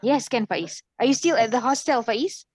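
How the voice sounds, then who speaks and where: A woman's voice: on the high side, expressive, normal speed, no echo, studio quality. A woman with a Malaysian accent leading an online English class discussion, mixing in Malay words and prompting students with questions. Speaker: English teacher.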